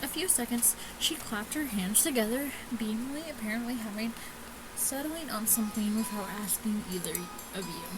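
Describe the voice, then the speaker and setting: A woman doing casual gameplay commentary, reading game text aloud haltingly and often stumbling and rereading lines. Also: fairly high pitch, low-quality recording. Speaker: let's player reading a visual novel aloud. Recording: music playing behind the voice. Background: game music.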